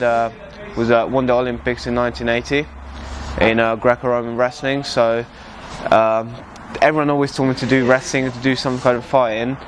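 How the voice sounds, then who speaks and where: A man's voice: medium pitch, background noise. A teenage man from Hungary, a native Hungarian speaker, talking casually in an interview with many fillers and restarts, seated in a gym. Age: teens. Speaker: amateur MMA fighter. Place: bright gym beside a fight cage.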